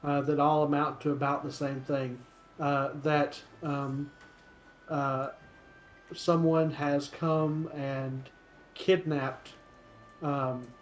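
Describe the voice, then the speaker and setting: A man narrating a tabletop role-playing game as game master in a halting, hesitant way. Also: on the high side, level tone, roomy sound, faint music behind.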